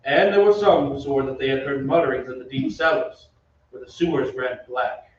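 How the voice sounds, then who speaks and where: A man's voice: mid-range voice, normal speed, natural voice. A man playing an opera singer who recounts eerie events as a grave, suspenseful radio-drama narrator. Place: theatre stage. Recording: echoey stage from far off, dull, noisy.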